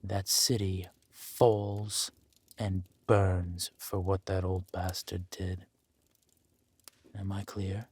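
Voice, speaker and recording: A man playing a ruthless, vengeful warlord in an audio roleplay, speaking deliberately and with menace. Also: sits low, level tone, darkish tone, breathy edge, heavily acted. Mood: cold menace. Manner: slow, deliberate, menacing delivery. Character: ruthless villain warlord lord, vengeful, possessive lover. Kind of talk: dramatic villain roleplay acting.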